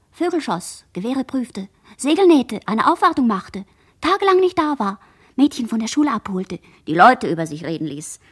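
A traumatized girl in a literary radio drama. Her voice is high and breathy. She recites memories in dreamy, incantatory fragments, as if barely conscious. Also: exaggerated voice. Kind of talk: literary radio play monologue.

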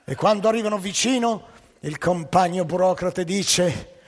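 A man's voice: high-pitched, measured pace. A man telling comic stories in a dramatic theatrical style, recorded live on stage with a long hall echo. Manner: dramatic, varying loud and soft. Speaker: storyteller. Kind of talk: theatrical storytelling.

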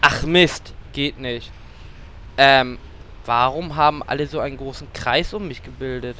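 A man's voice: medium pitch, a little slow, pushed and bright, a little hiss or hum. A man playing a cocky, impatient warlock with a cheeky, boastful manner in a German fantasy parody audio drama. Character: Dimitri, cocky impatient trigger-happy warlock.

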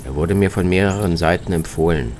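Low-pitched man narrating over music. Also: fairly quick, a little mellow. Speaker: reporter narrating own radio travel feature. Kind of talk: scripted first-person travel narration. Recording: music behind the voice.

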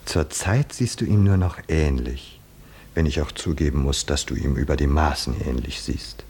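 An actor playing a stern, mocking mentor in a 1950s German radio play, husky and insistent in his admonishing. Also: rumbling low, normal speed, a little mellow, heavily acted. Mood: admonishing, urgent.

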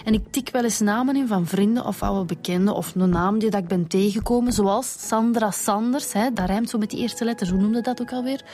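A woman's voice: medium pitch, fairly quick, hardly any pauses, dark tone, lightly acted. Cheeky Flemish woman detective, played by an actress. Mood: explaining. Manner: colloquial, teasing, explanatory. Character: cheeky, resourceful female police detective. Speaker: police detective. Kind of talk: radio drama acting.

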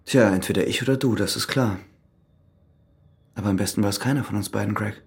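An actor playing a cool, calculating ex-convict in a radio crime drama, speaking in a controlled, insistent, matter-of-fact way. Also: male voice, fairly low pitch, fairly quick, lightly acted. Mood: calmly instructing. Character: cool, calculating ex-convict who has killed.

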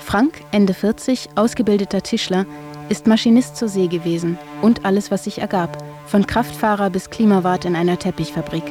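A woman reading scripted documentary narration as the narrator of a radio feature, in a measured, descriptive way. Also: medium pitch, over music.

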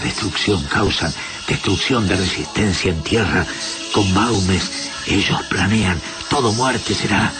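Slow, deep, dying alien. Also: male voice. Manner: halting broken syntax in short fragments. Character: dying alien slave invader, gentle, nostalgic, mournful. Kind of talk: radio drama acting.

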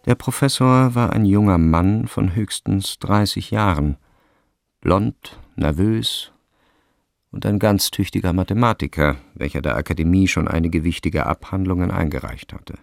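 A man reading scripted literary narration for a radio play in a measured, precise way. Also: deep voice, creaky, no room sound, studio quality.

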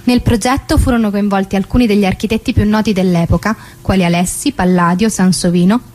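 Woman acting as soft mellow chronicler, formal factual reading, mid pitch, radio play. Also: fairly even intonation, fast talker, natural voice. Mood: formal, sober. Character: impersonal female chronicler reciting historical facts. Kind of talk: formal scripted documentary narration in radio theatre.

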